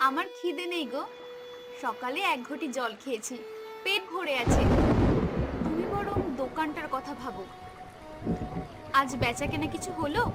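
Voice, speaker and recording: A woman voicing a gentle, weary, self-sacrificing mother in a cartoon, soft and soothing. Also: high-pitched, expressive, unhurried, long pauses, loud music behind the voice. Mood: quiet, weary. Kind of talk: cartoon voice acting.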